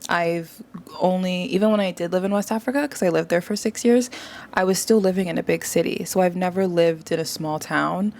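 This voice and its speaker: Young woman guest, low and gravelly, animated chatter, casual interview. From New York area. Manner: animated, chatty, quick to agree. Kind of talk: casual conversation.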